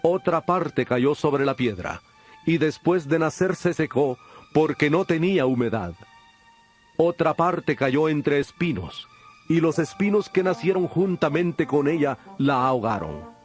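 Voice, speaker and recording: A holy teacher in a dramatized scripture recording. His voice sits a little high for a man and moves in measured, declamatory phrases, patient yet authoritative. Soft music runs behind him. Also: animated. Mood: calm storytelling. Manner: measured, declamatory preaching delivery. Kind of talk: dramatized audio Bible acting.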